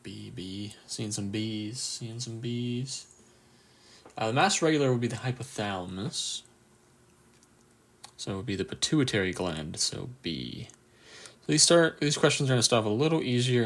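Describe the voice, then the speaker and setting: A man with a croaky, just-woken-up voice runs a casual live quiz for anatomy students, reading answer options and cheering on viewers' replies. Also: lowish voice, animated, normal speed. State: just woke up, a bit tired. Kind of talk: casual livestream quiz review.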